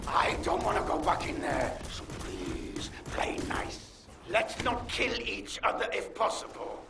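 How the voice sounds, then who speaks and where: Shrill, manic alien villain, echoing. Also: male voice, huge pitch swings, measured pace, creaky, exaggerated voice. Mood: pleading, menacing. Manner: erratic, begging then threatening. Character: manic trapped alien pyromaniac villain, pleading and menacing. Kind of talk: video game villain acting. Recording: distant, echoing, noisy game audio.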